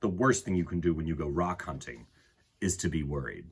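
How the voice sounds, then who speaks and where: A man with a husky voice reading a picture book aloud to children in an expressive way, with dramatic pauses and emphasis. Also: very deep voice. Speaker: children's storytime host and reader.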